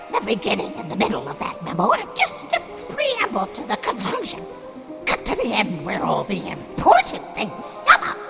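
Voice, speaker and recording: A woman's voice: on the high side, gravelly, heavily acted. A woman playing an imperious, indignant Fate of myth in an audio drama, theatrical and haughty. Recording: music under the voice.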